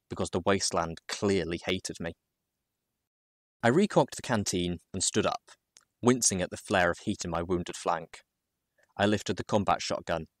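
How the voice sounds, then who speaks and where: A man with a low voice narrates a story in the first person. His delivery is dramatic and measured, with deliberate pauses between phrases. The recording is dry, with very little room sound. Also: dry recording.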